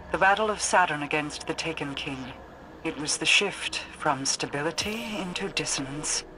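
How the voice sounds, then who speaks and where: An actress playing a proud, cold queen in a video game, speaking in a formal, commanding, measured way. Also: deep voice, background noise. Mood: brooding, searching. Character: proud, cold, imperious queen mourning her brother. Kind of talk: dramatic video game dialogue.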